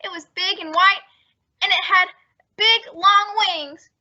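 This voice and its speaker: Shrill, excitable little girl. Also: measured pace, full character voice. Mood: scared, tearful. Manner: wailing, breathless. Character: frightened, excitable little girl, youngest daughter. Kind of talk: old-time radio family comedy acting. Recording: muffled lo-fi radio-style recording.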